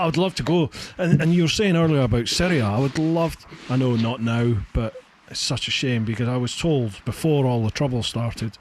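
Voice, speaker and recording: Man chatting over faint noise. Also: mid-range voice. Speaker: podcast host. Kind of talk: casual chat. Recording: faint background noise, online call.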